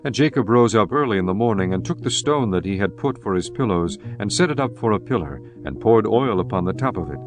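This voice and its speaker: A man narrating scripture in a measured, reverent manner. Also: on the low side, expressive. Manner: measured, reverent, archaic diction. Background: guitar music.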